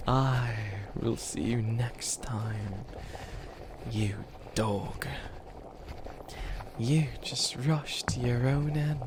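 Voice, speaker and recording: Man putting on a breathy, pompous evil villain. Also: medium pitch, background noise. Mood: menacing. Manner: pompous, drawn-out delivery. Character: menacing theatrical evil villain rehearsing doom-laden threats. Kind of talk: slow dramatic villain monologue in ASMR roleplay.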